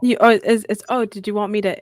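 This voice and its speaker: A woman's voice: mid-range voice, fairly even intonation, fast talker, dry recording, studio quality. A woman chatting casually on a podcast in a joking, self-deprecating way. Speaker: podcast panelist, content creator.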